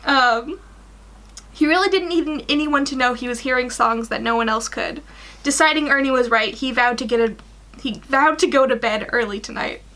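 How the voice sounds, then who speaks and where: A young woman in her twenties reading fan fiction aloud in an animated way, stumbling over the odd sentence. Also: high-pitched, normal speed. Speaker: guest story reader. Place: small attic bedroom.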